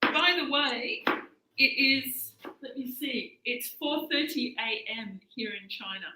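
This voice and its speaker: Australian woman, late 50s, cooking instructor; bright, slightly high, chatty and hesitant; echoey room. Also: slow pace, a little hiss or hum. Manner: chatty, hesitant, many fillers, switches into Mandarin. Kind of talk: live cooking demonstration.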